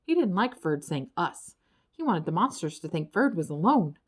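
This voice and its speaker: A woman narrating a children's storybook in an expressive, dramatic storytelling way. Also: lowish voice, brisk. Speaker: audiobook narrator.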